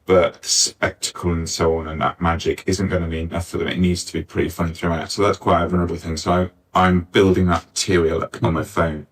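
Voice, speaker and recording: A man's voice: deep voice, level tone, fast talker, hardly any pauses, breathy edge. A man talking informally as a panellist at a live discussion, on a distant backup recording. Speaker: panellist, pantomime scriptwriter. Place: arts centre venue, picked up from afar.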